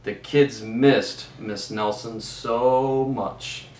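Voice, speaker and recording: A man who teaches PE reads a children's picture book aloud slowly, and now and then stops to ask the kids gentle questions. His pitch sits in the middle range. The room echoes, and he is some way from the mic. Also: fairly even intonation, recorded from a distance, a little hiss or hum.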